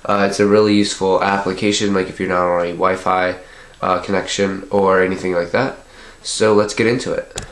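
A man's voice: low-pitched, flat delivery, fairly quick. A young man with a stuffed-up nose from a cold, walking through a tech how-to in a loose, chatty way full of filler sounds, recorded indoors at home on a nearby camera. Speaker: tech tutorial host. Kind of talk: casual step-by-step how-to.

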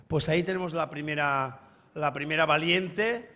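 A man moderating the question round at a conference, brisk and friendly. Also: fairly high pitch, bright, forceful tone. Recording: reverberant conference hall.

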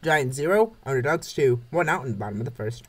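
A man's voice: medium pitch, expressive, fast. A man reading scripted play-by-play narration of a comic baseball game. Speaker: narrator of a comic dub series.

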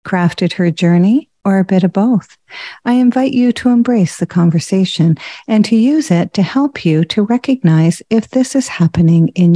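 A woman hosts a podcast interview, reading her guest's introduction in a measured, formal way. Her tone is mellow and the sound is dry, with no room around it. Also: medium pitch, no echo. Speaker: podcast host.

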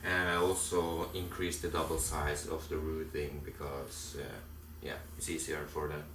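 Man, Norwegian accent, very deep voice, plain-spoken, echoey room, far from mic. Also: level tone, moderate pace, noisy background. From Norway. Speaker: construction project manager. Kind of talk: explaining work on a video call.

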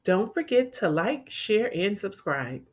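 Teacher lady doing a kids read aloud, really slow, lots of up and down in her voice. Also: mid-range voice, big pitch swings, breathy edge. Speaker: teacher reading picture books aloud for children. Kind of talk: expressive children's storybook read-aloud.

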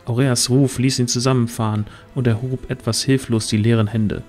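A man narrates a fantasy audio drama in a literary storytelling style. His voice sits at a middle pitch, with music softly behind it. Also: flat delivery, normal speed.

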